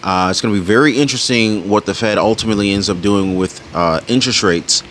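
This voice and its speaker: A man's voice: on the low side, fast, a bit bright, slight background noise. Rambling man hosting a finance channel. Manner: rambling with frequent restarts and fillers. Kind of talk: casual talk to camera.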